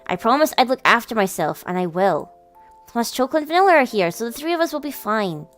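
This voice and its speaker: A woman's voice: on the high side, very animated, brisk. Tender, reassuring big brother in a story game, sincere and light-voiced, read by a woman, music behind. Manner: gentle, sincere. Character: caring, earnest older brother, tender and reassuring. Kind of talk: visual novel character voice in a let's play.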